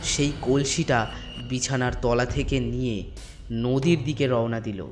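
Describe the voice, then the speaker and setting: A man narrating a scripted ghost story in a dramatic storytelling manner with drawn-out pauses. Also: medium pitch. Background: soft instrumental music.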